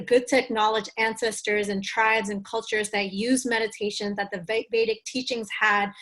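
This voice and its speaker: A woman from Bangladesh, a wellness teacher answering on a webinar panel over a video call, talking earnestly and thoughtfully with frequent casual fillers. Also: mid-range voice.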